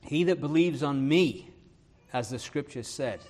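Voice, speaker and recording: A man preaching a sermon in an English accent from Leeds, mixed with Irish and American traces. He reads scripture slowly and with weight, and often pauses on "uh" and "um". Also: on the high side, a little mellow. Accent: Leeds English, touched by Irish and American. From northern England. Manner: earnest, fills pauses with "uh" and "um".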